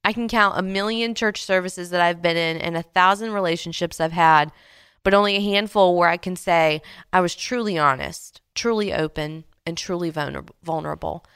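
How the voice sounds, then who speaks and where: A woman in her late twenties hosting a devotional podcast, speaking gently and earnestly with soft pauses, her voice a little stuffy from a cold. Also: on the low side, clear, resonant tone, no echo, studio quality. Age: late 20s. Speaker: podcast host. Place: Houston, Texas.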